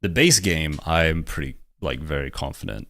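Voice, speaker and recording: A man's voice: a bass voice, lively intonation, moderate pace, a bit bright, dry recording, clean studio sound. A man chatting casually with a friend, hesitant and full of restarts. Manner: hesitant, lots of fillers and restarts. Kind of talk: casual chat.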